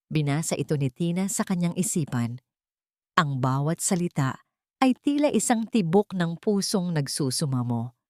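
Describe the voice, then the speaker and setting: A woman with a Filipino accent narrating a scripted dramatic story in a measured, earnest, sentimental way. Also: low voice, expressive, warm tone, no echo, studio quality.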